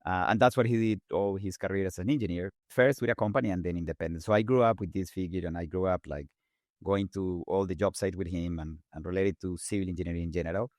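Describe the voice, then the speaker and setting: A man in his forties from Colombia with a Colombian Spanish accent, a native Spanish speaker, talking quickly and animatedly as an interview guest. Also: deep voice, no room sound, clean studio sound. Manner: fast-talking, animated, repeats words while restarting. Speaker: guest engineer. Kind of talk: casual interview talk.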